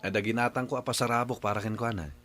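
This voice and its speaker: A man's voice: mid-range voice, fairly even intonation, fast, fairly bright tone, soft background music, lightly acted. A man playing an easygoing working husband in an Ilocano radio drama, speaking in a familiar, conversational way with an Ilocano accent.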